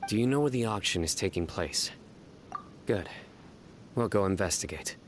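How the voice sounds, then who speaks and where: Game role: stern investigator male actor, very deep, measured, curt, grave and sure of himself. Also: lively intonation, slight background noise, natural voice. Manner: measured, grave, matter-of-fact. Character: stern, self-assured male investigator and enforcer, low and curt. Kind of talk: English video game voice acting.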